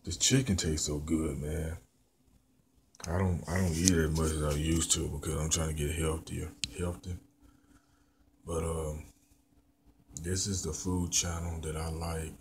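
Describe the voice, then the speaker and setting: A man's voice: very deep voice, flat delivery, measured pace, long pauses, slight background noise. A man in his thirties with a Memphis Southern accent, rambling and repeating himself as he reviews food while eating, filmed on a handheld phone indoors. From born in Memphis, raised in Mississippi. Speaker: vlogger. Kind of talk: casual food review vlog. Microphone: handheld phone camera at arm's length.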